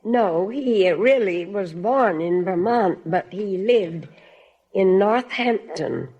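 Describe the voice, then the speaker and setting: A woman's voice: medium pitch, takes it slowly, a little mellow, muffled recording. A woman from Massachusetts with an American accent, answering a quiz host politely and formally as a contestant. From Massachusetts, United States. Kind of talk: unscripted interview answers.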